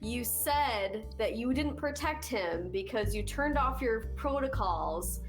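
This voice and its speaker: A woman player questioning a character in a deliberate, probing way. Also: on the high side, lively intonation, faint music behind. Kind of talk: in-character interrogation at a game table.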